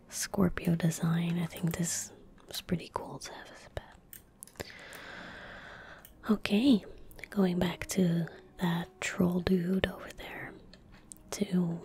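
A woman in her twenties giving gentle ASMR game commentary in a soft, breathy whisper, close to a desktop microphone. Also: lowish voice. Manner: gentle, unhurried, murmuring. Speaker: streamer playing a video game. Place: small indoor room at a desk. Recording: close-miked with faint background noise. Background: faint game ambience.

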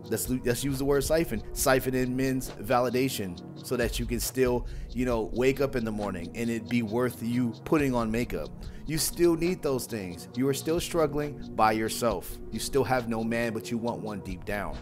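A young man talks into a wired earphone mic in a small room at home, casual and mocking, tossing in "bro" again and again. His voice sits in a middle pitch. Faint music plays under him. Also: moderate pace. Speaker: reaction channel host. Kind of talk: casual reaction commentary.

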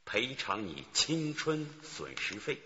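Sly male henchman manager, radio drama, very sharp and animated, wheedling with menace, muffled recording. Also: lowish voice, takes it slowly, exaggerated voice. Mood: cajoling. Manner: theatrical, cajoling and menacing by turns. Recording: dull recording with long reverb.

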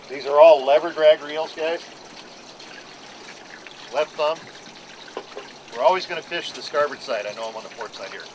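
A middle-aged man, a fishing boat captain, giving a practical, plain-spoken briefing to a group on the deck of a docked boat. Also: mid-range voice. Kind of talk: instructional briefing to a charter group. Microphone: camera mic from a few feet away. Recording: outdoor camera audio with clear background noise.

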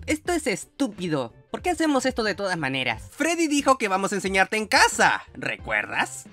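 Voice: medium pitch, huge pitch swings, normal speed, sharp tone, faint music behind. A voice actor playing a cheeky, sarcastic young boy in a fan dub of a comic. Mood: snarky. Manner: snarky, blunt.

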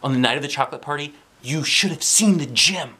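A man in his forties reads a children's story aloud in an expressive, playful way, with asides to young listeners. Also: on the high side, brisk, breathy edge. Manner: expressive, playful, exaggerated delivery. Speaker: children's book reader. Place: indoors, seated on a couch.

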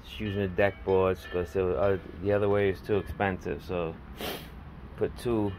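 Man, middle-aged, lowish voice, casual rambling DIY walkthrough, phone recording outside, faint outdoor hiss. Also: flat delivery, moderate pace, darkish tone, poor audio. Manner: plainspoken, rambling, working-man talk. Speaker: DIY home builder filming his project. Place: outdoors at a house, in a dug-out basement stairwell. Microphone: handheld phone camera.

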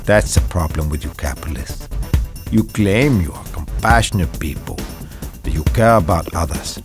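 A man's voice: low-pitched, expressive, normal speed, over music. A man playing a menacing, contemptuous henchman who interrogates and accuses in a video game. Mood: cold contempt. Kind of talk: dramatic game cutscene acting.

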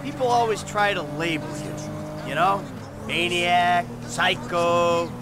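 An actor playing a sardonic middle-aged American career bank robber in a video game, arguing in a dry, needling way. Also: male voice, sits high, slow, over loud music.

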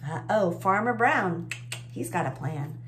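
A woman's voice: lowish voice, animated, a little slow. A woman in her forties hosting children's story time, reading expressively and explaining in a teacherly, encouraging way. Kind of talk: expressive read-aloud with simple explanations. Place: small indoor room with bookshelves.

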